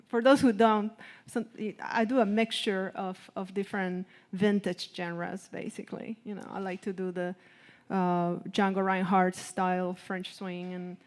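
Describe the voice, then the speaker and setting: A woman musician from Argentina, a guest on a live radio interview. She talks in a halting, thoughtful way with many ums. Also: mid-range voice.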